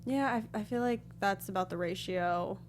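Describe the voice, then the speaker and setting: A woman's voice: medium pitch, normal speed. A woman co-hosting a talk show, chatting casually about video games.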